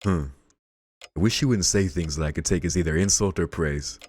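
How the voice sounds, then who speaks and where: A man hosting a let's play, reading visual novel narration aloud in a measured storytelling way. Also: sits low, a little mellow, breathy edge. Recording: game music behind the voice. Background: game music.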